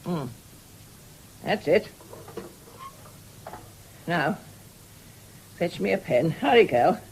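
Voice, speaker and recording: An actress playing an imperious, sharp-tongued but generous older mistress in a 1970s radio drama, with brusque, commanding speech. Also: female voice, fairly low pitch, lively intonation, extremely slow, slight background noise, full character voice, poor audio. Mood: brisk, bossy.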